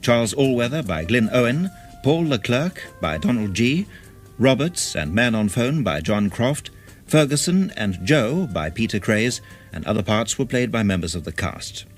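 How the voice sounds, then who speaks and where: A man making a formal, measured, scripted radio announcement as a drama's continuity announcer. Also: mid-range voice, sing-song. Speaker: continuity announcer reading credits. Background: loud theme music.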